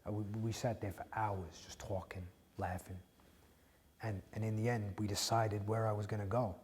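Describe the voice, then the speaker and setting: An actor playing a grieving widower who talks bluntly and tenderly, swearing often and stumbling over restarts. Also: male voice, on the low side, flat delivery, a little mellow, in a large hall, close to an everyday voice. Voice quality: profane, halting with repeated restarts. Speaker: widower speaking to a grieving listener. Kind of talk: emotional dramatic monologue.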